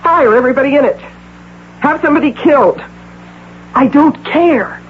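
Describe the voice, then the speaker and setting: A woman's voice: high voice, normal speed, natural voice. An actress playing a domineering, deluded executive woman who poses as a doting mother, in insistent 1980s radio drama style. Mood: demanding, ruthless. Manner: insistent, theatrical. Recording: tinny, noisy old radio broadcast.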